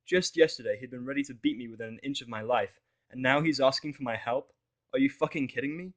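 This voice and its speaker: A man narrating a fan fiction audio drama in the first person, expressive and wry. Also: medium pitch, quick pace.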